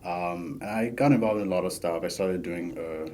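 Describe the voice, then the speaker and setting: Very deep-voiced man. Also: normal speed, a little hiss or hum. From Bahamas. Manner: relaxed, many fillers while thinking. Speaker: podcast guest, PhD student. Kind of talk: casual interview chat.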